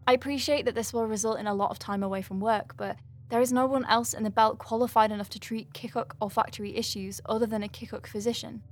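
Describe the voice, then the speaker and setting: A woman's voice: a little high, fairly even intonation, fast talker, few pauses, lightly acted. Woman acting an earnest, rambling doctor, music behind. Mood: thoughtful, explanatory. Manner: rambling, conversational, thinking aloud. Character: earnest young woman alien-clinic doctor, flustered and wry. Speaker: doctor dictating a patient letter. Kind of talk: audio drama, naturalistic dictation.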